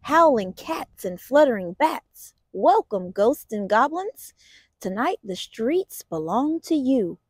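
A woman reads a children's picture book aloud with a very animated, swooping delivery. She takes her time over the words in an expressive storytelling way. The sound is dry and close, without room echo. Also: on the high side, slow, slightly rough.

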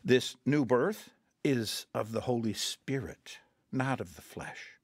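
An actor playing a patient mission counselor in a radio drama, talking calmly and earnestly and quoting scripture. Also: male voice, mid-range voice, slow pace, dry recording, clean studio sound. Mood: gentle. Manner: calm, earnest, quotes scripture.

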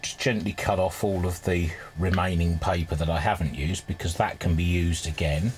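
Low-voiced man, casual demonstration. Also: steady tone, moderate pace. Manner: informal, thinking aloud while working. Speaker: restorer presenting a hobby repair video. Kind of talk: casual hands-on demonstration commentary. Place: indoor cluttered electronics workbench. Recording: clear background noise, workshop ambience.

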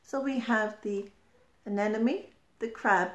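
A middle-aged woman reading a children's picture book aloud, expressive, deliberate and emphatic, in a roomy-sounding home. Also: medium pitch. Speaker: storyteller. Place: small furnished room at home. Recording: roomy indoor echo.

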